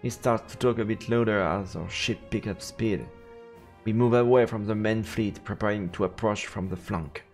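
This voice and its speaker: A male streamer reads a fantasy visual novel in dramatic storybook narration. His voice is fairly low with a lot of creak. Faint game music plays behind him. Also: moderate pace.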